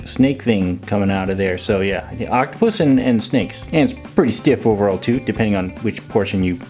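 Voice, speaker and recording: A man's voice: on the low side, fairly quick, a little mellow, soft background music. A man giving a casual, jokey action figure review as host, with a playful manner and silly made-up words. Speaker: toy reviewer and video host.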